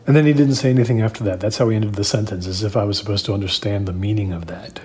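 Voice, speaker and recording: Male horror audio-drama narrator, slightly deep, conversational and confiding, uneasy, hesitant; faintly noisy recording. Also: fairly even intonation, very fast, a little mellow. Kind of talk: first-person diary-style horror narration, performed conversationally. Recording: clear background noise.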